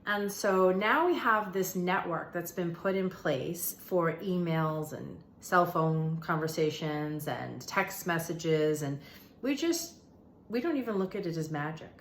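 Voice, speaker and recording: A woman's voice: on the low side, a little slow, slight background noise. A woman hosting an informal spiritual explainer, talking to viewers in a conversational, emphatic way and repeating phrases for emphasis. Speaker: host of a manifestation and meditation channel. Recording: roomy, echoey room sound.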